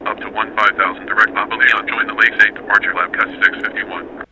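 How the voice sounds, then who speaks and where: A man's voice: on the low side, flat delivery, brisk. A man playing a businesslike airline pilot in a flight control game, heard through an aircraft radio. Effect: aircraft radio filter. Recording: loud cockpit radio noise.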